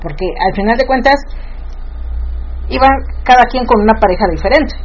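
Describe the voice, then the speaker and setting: Woman, radio host, chatty, slightly high, muffled, faint noise. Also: animated, fairly quick. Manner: chatty, reads listener messages. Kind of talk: casual radio chat.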